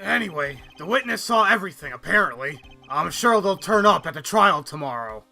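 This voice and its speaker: A man voicing a gruff, blustering but loyal police detective in an amateur video game dub, brash and folksy. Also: high voice, lively intonation, fairly quick, bright, forceful tone, faint music behind, heavily acted. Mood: earnest, loyal. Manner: brash, folksy, calls people pal.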